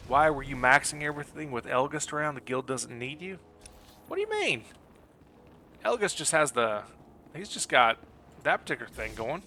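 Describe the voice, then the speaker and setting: Male game streamer, forward bright tone, amiable and rambling, off-the-cuff delivery over clear background noise. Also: mid-range voice, a little fast. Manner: friendly, rambling, thinking aloud. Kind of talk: casual livestream chat over gameplay.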